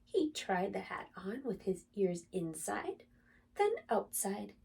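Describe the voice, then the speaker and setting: Very animated woman reading a children's bedtime story. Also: mid-range voice, creaky, husky, distant mic. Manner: animated, dramatic, deliberate phrasing for small children. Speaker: storyteller reading a picture book aloud for children. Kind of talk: expressive children's bedtime story read-aloud.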